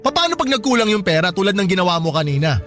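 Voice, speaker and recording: An actor playing a strict, suspicious store boss in a Tagalog radio drama, questioning in a stern manner. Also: male voice, high voice, very animated, quick pace, fairly bright tone. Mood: scolding. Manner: interrogating, stern. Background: music.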